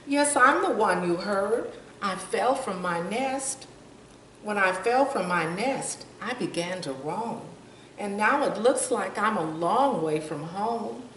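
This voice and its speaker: A woman's voice: medium pitch, lively intonation, takes it slowly, a bit bright, voice pushed up. A woman voicing a small, scared baby bird from a storybook, polite and plaintive. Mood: forlorn. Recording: roomy, distant mic, faint noise.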